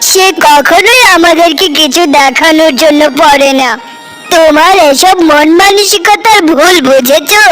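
Put-upon farmer husband played by a woman: high, pleading, exasperated, cartoon drama with faint music. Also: fairly bright tone. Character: long-suffering younger husband, a village farmer. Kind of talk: Bengali cartoon family drama acting.

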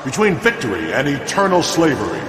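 A commanding, resolute military leader with a fairly high, animated voice. He declaims sternly in sci-fi game style, in a roomy echo. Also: a little fast, background noise. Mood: rallying, resolute. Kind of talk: science fiction game cutscene acting. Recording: echoing hall under loud music.

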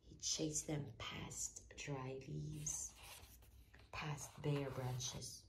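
A woman reading a children's story aloud with expressive, deliberate narration, over faint handling noise. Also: deep voice, rough recording. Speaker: storybook reader.

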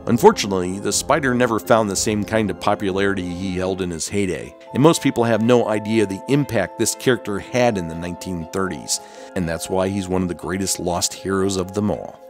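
A man's voice: sits low, fairly quick, faint music behind. A man hosting and narrating a scripted documentary-style video.

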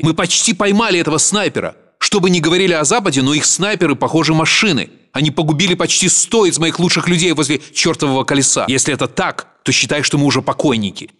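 An actor playing a gruff, sardonic Russian soldier in military video game voice lines. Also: male voice, fairly high pitch, fairly bright tone.

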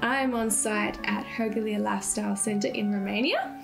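A woman's voice: medium pitch, steady tone, moderate pace, gravelly, music in the background. A woman hosting a conversational radio interview on site, attentive to her guest.

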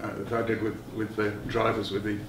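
A middle-aged man giving a technical explanation as an interviewed designer, halting and thinking aloud as he goes. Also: fairly low pitch, flat delivery. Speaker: loudspeaker designer being interviewed. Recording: roomy, distant mic, noticeable background noise.

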